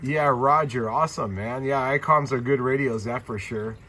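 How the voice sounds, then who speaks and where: Man on CB radio amid loud static. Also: medium pitch, moderate pace. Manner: friendly, laid-back, radio jargon acknowledgements. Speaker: CB radio operator and YouTube channel host. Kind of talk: casual radio contact chat.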